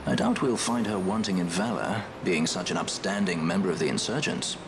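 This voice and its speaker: An actor playing a suave, wry young sky pirate in a video game, with an urbane, teasing, unhurried manner. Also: male voice, deep voice, background noise. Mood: wry, composed. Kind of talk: polished video game voice acting. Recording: music behind the voice.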